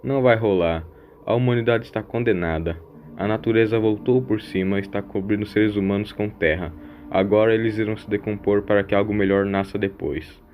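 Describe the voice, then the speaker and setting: A man's voice: lowish voice, fairly even intonation, fairly quick, highs cut off, a little hiss or hum, lightly acted. A man playing a mysterious, fatalistic wanderer in an audio drama, grandiose and scornful of humanity.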